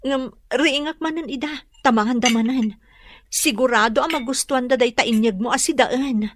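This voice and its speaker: A woman's voice: high voice, normal speed, slight background noise, lightly acted. A woman playing a worried, apologetic mother in a radio drama, pleading with a Filipino accent. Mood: anxious, apologetic. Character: worried, pleading mother behind on boarding house rent.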